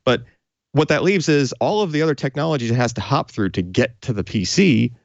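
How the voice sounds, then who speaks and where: A man's voice: medium pitch, animated, brisk, gravelly, no echo, dull audio. A man casually explaining technical hardware as a tech show co-host, in an informal, conversational way.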